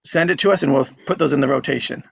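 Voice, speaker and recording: A man's voice: mid-range voice, rapid-fire, vocal fry, fairly bright tone, husky. A man hosting an online script reading group, chatting casually.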